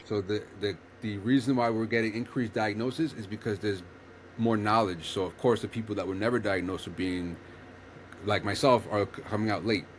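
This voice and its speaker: Man, low pitch, podcast host, earnest, rambling, faint background noise. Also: steady tone, fairly quick. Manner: rambling, restarts a lot, earnest explainer. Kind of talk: casual chat.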